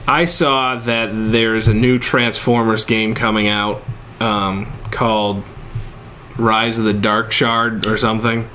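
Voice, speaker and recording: A man's voice: on the low side, level tone, slow, sharp tone. A man in his thirties chatting casually as co-host of an entertainment show. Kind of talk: casual chat about video games. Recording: noisy room recording.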